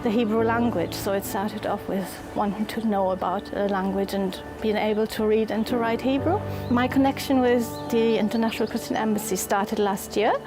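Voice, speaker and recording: A woman's voice: mid-range voice, fairly even intonation. German woman in her fifties, music behind. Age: 50s. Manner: reflective, earnest, with pauses and repeated phrases. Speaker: volunteer giving a testimonial. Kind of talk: personal testimonial for a video. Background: clear music under the voice.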